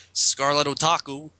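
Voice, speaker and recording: A man's voice: fairly high pitch, sharp tone, clear, resonant tone, no echo. A man hosting a group dramatic reading, talking casually as he introduces things and comments with friends.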